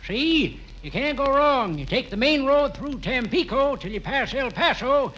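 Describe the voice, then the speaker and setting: An actor playing a folksy, drily comic old gold prospector in a radio comedy. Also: male voice, very high-pitched, animated, a little slow, a bit bright, dull audio, a little hiss or hum, heavily acted. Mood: folksy, matter-of-fact. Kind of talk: 1940s-50s radio comedy acting.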